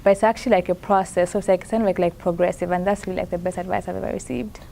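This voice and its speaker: A young woman from Zambia, a master's student in plant science, answering interview questions in a hesitant, soft-spoken way with frequent fillers. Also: lowish voice, fairly even intonation, rapid-fire, creaky, a little hiss or hum. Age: young adult. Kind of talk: conference interview, casual answers.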